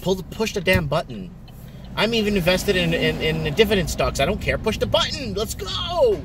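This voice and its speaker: A man's voice: high voice, normal speed, distant mic. A middle-aged man whose first language is Portuguese, chatting casually and rambling to a dashboard camera from his car. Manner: rambling, animated, many restarts and fillers. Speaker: vlogger. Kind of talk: casual chat while driving. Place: parked and driving car. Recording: car and street noise behind.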